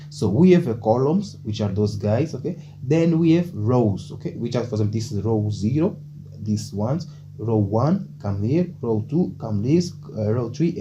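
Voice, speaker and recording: Male tutor, Portuguese first language, chatty and informal. Dark mellow tone, muffled recording. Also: medium pitch. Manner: loose, chatty, keeps adding "okay?", thinks aloud with small slips. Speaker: programming tutor. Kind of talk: casual screen-recorded coding lesson. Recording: dull lo-fi mic with faint hum.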